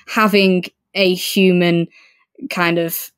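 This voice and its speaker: A woman's voice: lowish voice, flat delivery, slow, clear, resonant tone, dry recording, studio quality. A woman co-hosting a podcast, chatting casually and effusively about books. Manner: enthusiastic, effusive. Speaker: podcast co-host. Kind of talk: casual book review discussion.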